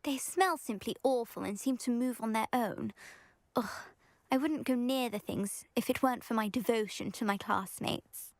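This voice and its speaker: A woman's voice: on the high side, moderate pace, dry recording, close to an everyday voice. A woman voicing a vain, scheming teenage schoolgirl in a video game, with a pompous, genteel British delivery. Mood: disgusted. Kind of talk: video game character acting.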